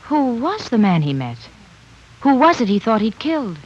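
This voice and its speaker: A woman's voice: a little high, sing-song, normal speed, darkish tone, slightly rough. An actress playing an anxious young woman who presses a doctor with urgent questions, in 1940s radio drama style. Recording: muffled 1940s radio broadcast.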